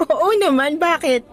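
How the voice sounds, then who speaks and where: A woman's voice: very high voice, exaggerated voice. A woman acting as a cheerful young new teacher in a radio drama, chatty and appreciative with a hopeful, slightly nervous temper. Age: young adult. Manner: bright-spirited, chatty, appreciative.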